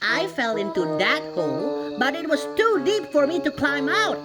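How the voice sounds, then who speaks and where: A woman's voice: sits high, sing-song, moderate pace. A woman voicing a crafty little mouse deer. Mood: gloating. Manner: coaxing and crafty, bouncy lilt. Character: tiny, clever, tricky mouse deer. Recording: roomy echo with music bed.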